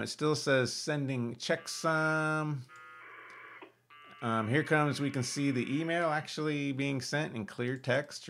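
A man's voice: lowish voice, fairly bright tone. An American man in his fifties hosting a casual amateur radio tutorial, talking informally and with enthusiasm as he demonstrates. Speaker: amateur radio video host. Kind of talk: casual screen-share tutorial with live commentary. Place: small indoor home radio room.

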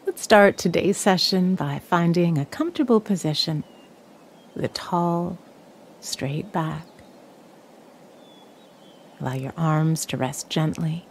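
Slow, low-voiced woman guiding meditation. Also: slight background noise. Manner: calm, soothing, measured with long pauses. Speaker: meditation guide. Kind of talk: guided meditation narration.

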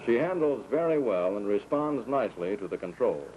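American flight instructor on muffled, noisy 1940s film. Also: male voice, lowish voice, expressive, moderate pace. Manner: clear, orderly step-by-step instructing.

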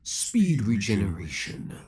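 A man's voice: fairly low pitch, sing-song, slow pace, a little mellow, husky, exaggerated voice. A man playing an obsessive young villain in a roleplay audio drama, theatrical and formal, taunting with cold affection. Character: obsessive young male villain, possessive, menacing, coldly affectionate.

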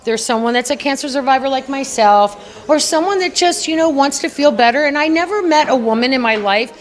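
A middle-aged woman speaking into a handheld mic held up by an interviewer at an indoor gala press wall. Her voice sits a little high, and she talks in an earnest, chatty way, laughing lightly now and then. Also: animated, fairly quick. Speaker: award honoree, beauty entrepreneur. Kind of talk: red carpet interview.

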